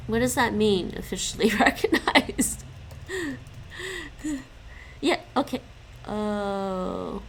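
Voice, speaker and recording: A woman's voice: medium pitch, slow pace, long pauses, fairly bright tone, a little hiss or hum. A young woman chatting casually with stream viewers while she studies languages. She stops and restarts often and laughs a lot. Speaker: live streamer studying languages.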